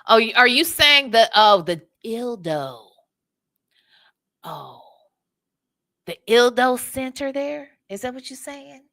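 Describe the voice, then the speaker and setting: A woman's voice: on the high side, very animated, slow, long pauses, pushed and bright. An American woman hosting a casual live reaction show, blunt, sassy and profane, with emphatic rhetorical questions. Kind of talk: casual live commentary with chat.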